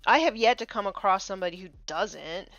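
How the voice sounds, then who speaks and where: A woman chatting casually on a painting live stream, a little congested from allergies, muttering soft asides as she works. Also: mid-range voice, fairly quick, no room sound, faint music behind. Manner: soft muttering asides, trailing off mid-task. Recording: slightly dull, dry sound.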